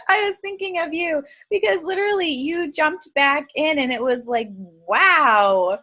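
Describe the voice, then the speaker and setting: A woman with a fairly high voice leads a team video call, upbeat and full of praise. She chats casually and drops in quick asides. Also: sharp tone. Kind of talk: encouraging team call chat.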